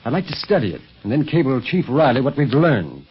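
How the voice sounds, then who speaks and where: A man's voice: medium pitch, sing-song, brisk, a little hiss or hum, close to an everyday voice. A man playing a level-headed secret police agent in a 1930s radio serial, earnest and clipped. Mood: earnest questioning. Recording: muffled old 1930s radio recording.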